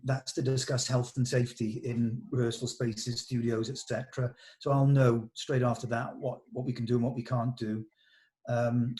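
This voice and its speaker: A man's voice: medium pitch, darkish tone. A man talking casually in a meeting, organising things with a group.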